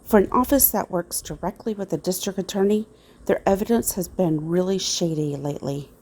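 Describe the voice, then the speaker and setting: A woman's voice: fairly low pitch, brisk, darkish tone. A woman playing an earnest, suspicious private investigator in an old-time radio style drama. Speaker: investigator in an amateur audio drama.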